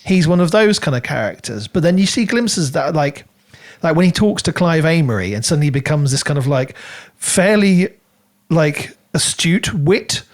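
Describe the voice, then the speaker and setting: A man's voice: on the high side, animated, moderate pace. Man thinking aloud in rambling chat. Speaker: podcast co-host. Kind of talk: casual book discussion.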